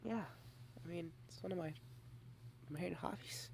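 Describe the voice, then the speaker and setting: A young adult woman in a girlfriend ASMR roleplay, rambling shyly and softly, dropping into whispers at times. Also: fairly low pitch, a little slow, vocal fry, a little hiss or hum. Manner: shy, rambling, soft-spoken with whispered asides.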